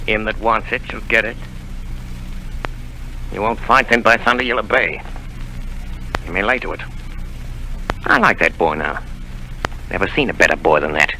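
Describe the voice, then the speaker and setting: An actor playing a cunning old male pirate leader in a 1930s radio drama, talking rough sailor's slang in a sly, coaxing way. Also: fairly low pitch, moderate pace, pauses often, sharp tone, a little hiss or hum, poor audio. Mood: menacing.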